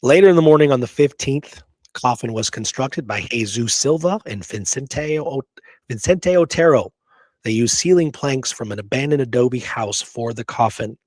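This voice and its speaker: A man with a mid-pitched voice, recorded close and dry, talks through history as a show host. He is informal and emphatic, moving between reading accounts and commenting on them, with frequent little check-ins to the listener. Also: lively intonation, moderate pace, darkish tone, no room sound. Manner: informal, emphatic, checks in with "okay?" and "you know?".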